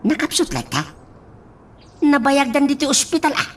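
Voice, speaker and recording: A woman voicing a kind, devout aging father in a radio drama, full of sighing exclamations and soft reassurance. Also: high voice, very animated, moderate pace, distant mic. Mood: reassuring.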